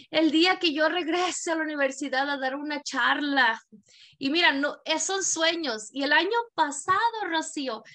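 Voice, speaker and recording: A woman's voice: high voice, fairly bright tone. A woman from El Salvador with a Mexican Spanish accent, telling her story earnestly as a podcast guest. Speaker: podcast guest, community leader. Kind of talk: personal testimony in an interview.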